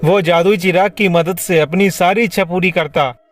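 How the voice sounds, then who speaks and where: A man's voice: high-pitched, fairly even intonation, normal speed, over music. A man narrating a cartoon tale in a scripted storybook style.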